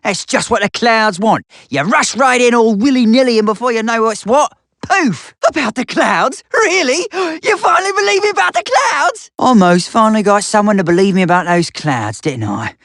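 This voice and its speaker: A male actor playing an eccentric, paranoid old man in a video game, with a folksy, rambling, grumbling and excitable manner. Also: extremely high pitch, normal speed, hardly any pauses, no echo, clean studio sound, full character voice. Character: eccentric, cloud-paranoid old man, cantankerous ranting inventor. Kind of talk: video game character acting.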